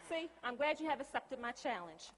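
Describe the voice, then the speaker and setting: A woman's voice: sits high, very animated, fairly quick. An actress playing a sassy, assertive, devout businesswoman in a comic stage play. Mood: challenging. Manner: sassy, firm, teasing. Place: large indoor theatre stage. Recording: echoey theatre stage, long reverb.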